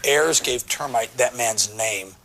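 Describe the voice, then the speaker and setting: A man's voice: medium pitch, takes it slowly, bright, forceful tone. A man playing a stubborn, righteous police lieutenant in an audio drama, terse and indignant in manner. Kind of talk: dramatic dialogue acting.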